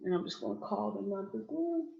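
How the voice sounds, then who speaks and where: A woman's voice: mid-range voice, big pitch swings, fairly quick, vocal fry, muffled recording. A woman giving an online training session in a casual, chatty way, often checking in with "okay?". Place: indoors, on a video call. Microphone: video call.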